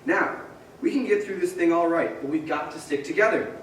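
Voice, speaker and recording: A man's voice: on the high side, brisk, fairly bright tone, natural voice. A man playing an earnest young small-town loan manager who pleads with people, in a staged radio play. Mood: urgent, pleading. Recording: echoey hall, distant mic, faint crowd noise.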